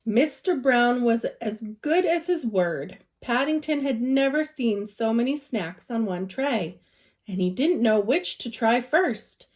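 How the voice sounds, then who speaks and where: A woman reading a children's story aloud as a teacher would, in an expressive storytelling style. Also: fairly high pitch.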